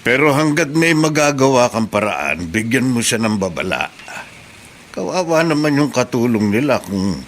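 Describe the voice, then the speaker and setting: A man's voice: mid-range voice, big pitch swings, moderate pace, a bit bright, slight background noise. An actor with a Filipino accent playing a concerned, suspicious workman who speaks earnestly in a radio drama. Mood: worried, confiding. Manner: earnest, confiding. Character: concerned, suspicious male auto-shop worker, adult.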